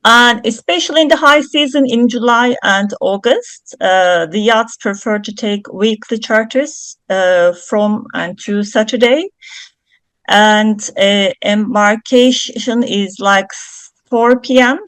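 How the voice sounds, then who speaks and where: A woman's voice: mid-range voice, slow pace, fairly bright tone, no echo. A woman from Turkey, presenting her company's yachts on a video call, speaking carefully with frequent fillers. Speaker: yacht charter company representative.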